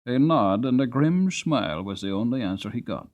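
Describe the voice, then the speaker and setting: A man's voice: medium pitch, animated, moderate pace, a little mellow. A man reading a classic novel aloud as an audiobook narrator, in a dramatic storytelling style.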